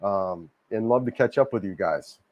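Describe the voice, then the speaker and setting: Slightly hoarse man chatting on a livestream. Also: on the low side, normal speed, lo-fi sound. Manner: rambling, repeats himself, frequent restarts. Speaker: livestream host. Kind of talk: casual livestream chat reading viewer comments. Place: home in Cebu, Philippines.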